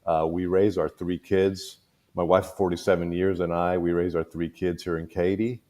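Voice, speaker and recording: A man in his sixties, originally from Cuba, speaks in a very low voice. He introduces himself as a school board candidate at an easy pace, with frequent pauses and fillers. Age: 60s. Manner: relaxed, halting with many fillers. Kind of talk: casual panel chat.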